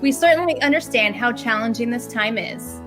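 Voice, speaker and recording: A woman's voice: a little high, expressive, normal speed. A woman delivering a scripted address to viewers as the head of an organization. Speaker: ballet school president and CEO. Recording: prominent music under the voice. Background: music.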